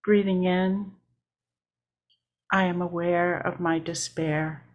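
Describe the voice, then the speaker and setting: A woman worship leader guiding a meditation in a calm, measured way, with short deliberate phrases. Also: lowish voice, highs cut off. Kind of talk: guided meditation.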